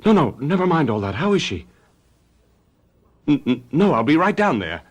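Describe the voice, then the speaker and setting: An actor playing a guilty, irritable husband in a radio mystery drama, testy and prone to stammering under pressure. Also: male voice, fairly high pitch, big pitch swings, moderate pace. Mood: irritable, guarded. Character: guilty husband feigning grief, curt, irritable, evasive.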